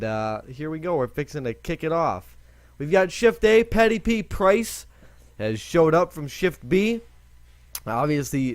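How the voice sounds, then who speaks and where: Chatty, rambling young man, lively pitch. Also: dry recording. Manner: rambling, chatty, self-correcting. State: voice lower than usual today. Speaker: gaming video host. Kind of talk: casual let's-play commentary.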